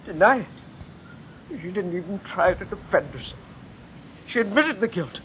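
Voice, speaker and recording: An actor playing a bitter, anguished middle-aged father in an old-time radio drama, with theatrical, halting delivery. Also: sits high, animated. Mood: hurt, indignant. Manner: theatrical, halting, repeating phrases for emphasis. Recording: muffled old radio recording, faint noise.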